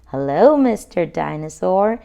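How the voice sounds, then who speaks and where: A woman voicing a curious, outspoken little girl pig from a children's storybook, expressive and exclaiming. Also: fairly low pitch, unhurried, vocal fry, full character voice. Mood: delighted.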